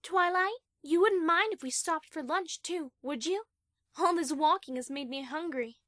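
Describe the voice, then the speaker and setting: A woman's voice: very high voice, normal speed, bright, forceful tone. A woman playing a playful, teasing female pony in an amateur audio drama. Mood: coaxing.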